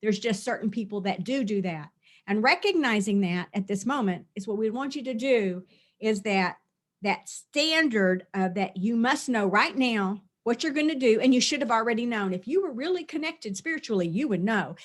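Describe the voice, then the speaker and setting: A woman's voice: on the high side, lively intonation, normal speed. Earnest woman leading a spiritual session. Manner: earnest, repetitive, lists and repeats phrases. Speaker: spiritual teacher. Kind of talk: spoken spiritual release session.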